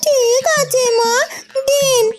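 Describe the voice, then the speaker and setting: A woman's voice: very high voice, level tone, slow, loud music behind the voice. A woman voicing a naive, whiny, simple-minded young village wife in a cartoon. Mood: puzzled, naive. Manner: childlike, coy, whining. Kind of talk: Bengali cartoon voice acting.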